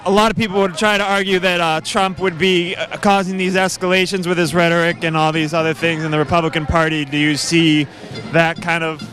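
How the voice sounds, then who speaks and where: A man interviewing at a street protest, asking hesitant, halting questions full of fillers. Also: fairly high pitch, level tone, fairly bright tone, soft background music. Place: outdoors at a city protest.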